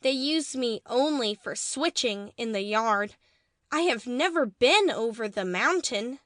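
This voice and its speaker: A woman playing a kind, modest and determined little train engine in a children's storybook reading, gentle and earnest. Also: on the high side, expressive, measured pace, no echo, studio quality.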